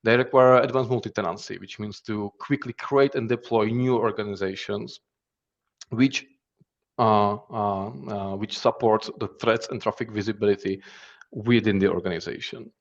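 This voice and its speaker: A man's voice: lowish voice, fairly even intonation, normal speed, creaky, highs cut off. A man from the Czech Republic with a Czech accent gives a conference presentation in a hesitant manner, often repeating words and phrases. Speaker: threat intelligence specialist giving a conference talk.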